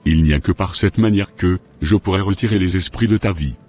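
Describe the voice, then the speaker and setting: A menacing occult healer played by a man in a homemade cartoon. The voice is very low and rough-edged, giving orders with deliberate, domineering authority. Soft music plays behind it. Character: menacing, domineering male spiritual master, a sinister occult healer.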